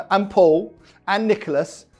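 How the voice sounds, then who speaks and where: A man's voice: sits high, slow pace, soft background music. A man acting as the show's lead investor, giving a blunt, forthright verdict.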